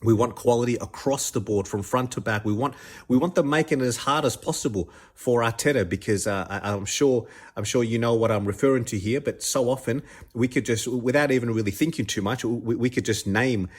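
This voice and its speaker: A man's voice: medium pitch, fairly quick. A man hosting his own podcast, a little stuffed up with a cold, talking enthusiastically with many restarts and repeated words. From Australia. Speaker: podcast host. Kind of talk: casual solo podcast commentary.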